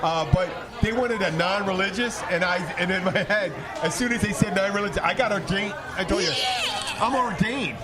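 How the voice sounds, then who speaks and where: A man hosting a casual podcast chat, talking in a halting, bantering way and often repeating himself. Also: high-pitched. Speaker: podcast host. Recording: crowded, noisy public room chatter.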